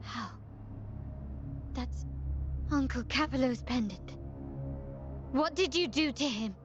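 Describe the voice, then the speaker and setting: Game heroine: composed young gunwoman, restrained and measured, slightly dull lo-fi sound over music. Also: high voice, animated. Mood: guarded concern. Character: composed, cool young gunwoman from a powerful family. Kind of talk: video game story dialogue.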